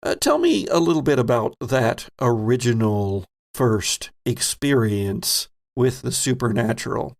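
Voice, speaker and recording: A man with a creaky, gravelly voice narrates as a horror game master. He takes his time, stretching phrases with theatrical, playful emphasis. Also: medium pitch, very animated. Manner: dramatic, deliberate, playful emphasis. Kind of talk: theatrical narration for an actual-play horror podcast.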